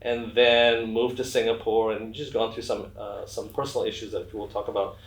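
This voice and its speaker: A man hosting a casual interview, introducing and questioning in a halting, self-correcting way. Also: lowish voice, flat delivery. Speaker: interview host. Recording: echoey room.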